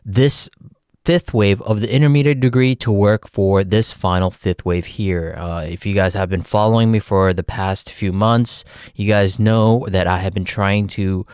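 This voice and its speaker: A man with a Texan accent hosting a livestream, giving casual, folksy chart commentary and often calling out to "ladies and gentlemen". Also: lowish voice, steady tone, a little slow, clear, resonant tone, no room sound, studio quality. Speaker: livestream host, market analyst.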